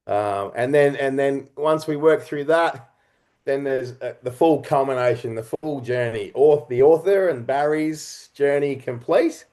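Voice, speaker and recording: A man speaking as an interview guest, a writer and creator talking reflectively and expressively in halting, conversational phrases. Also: medium pitch, mellow.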